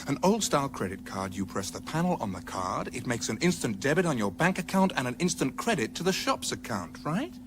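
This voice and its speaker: An actor playing a brash, cocky, hip space rogue with slangy, breezy excitability in a radio comedy. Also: male voice, medium pitch, brisk, few pauses. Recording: noisy radio drama with hum.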